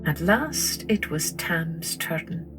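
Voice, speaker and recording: A woman storyteller reading a scripted children's tale in a deliberate, expressive manner. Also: on the low side, over music. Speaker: storyteller, podcast host.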